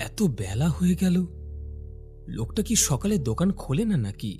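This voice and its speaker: A man's voice: fairly high pitch, big pitch swings, normal speed, mellow. A man playing a curious, puzzled young office worker new to a village, musing and questioning in a horror cartoon. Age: young adult. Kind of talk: horror cartoon dialogue and inner monologue. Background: faint music.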